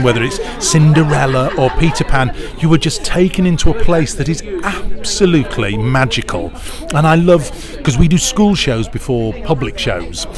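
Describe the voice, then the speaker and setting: A middle-aged British man giving interview answers in a chatty, enthusiastic storytelling way. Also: mid-range voice. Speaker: pantomime producer, interviewee. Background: music clearly audible.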